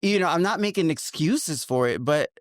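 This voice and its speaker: A woman from New York with a thick New York accent, chatting as a podcast guest and telling animated stories. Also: moderate pace, no echo, studio quality. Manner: animated storyteller, big pitch swings, frequent likes. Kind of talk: casual chat.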